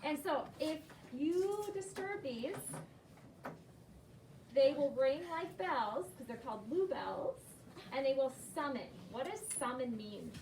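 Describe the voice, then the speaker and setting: Woman schoolteacher quizzing children on a story, high voice, echoing room with clear background noise. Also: lively intonation, slow pace, darkish tone. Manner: questioning, repeats and affirms answers. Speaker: primary school teacher. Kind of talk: interactive read-aloud lesson with children. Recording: roomy, noisy, distant classroom microphone.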